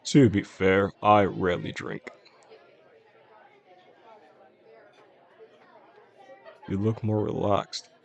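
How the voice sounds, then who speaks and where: Man acting a gravelly, soft-spoken possessive boss. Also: some chatter behind. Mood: courteous, attentive. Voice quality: low, gravelly. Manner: slow, soothing, gentle, controlled. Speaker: voice actor. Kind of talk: intimate roleplay audio drama addressed to the listener.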